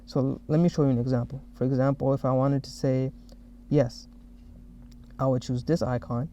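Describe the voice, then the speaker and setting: A man narrating a software demo as the developer who made it, explaining each step. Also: medium pitch, flat delivery, moderate pace. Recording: dull, muffled lo-fi audio.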